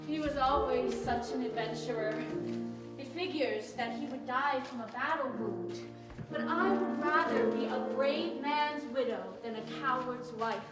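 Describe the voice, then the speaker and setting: A woman plays a proud, determined frontier widow who tells her story with steady resolve. Her voice is high and comes from well back on a roomy stage. Loud music plays behind her. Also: far from the mic. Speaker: stage actor in a historical monologue. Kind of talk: theatrical monologue.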